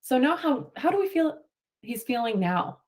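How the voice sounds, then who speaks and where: A woman's voice: sits high, very animated, normal speed, darkish tone. A woman teacher reading a picture book aloud to children and prompting them with questions, affirming their answers.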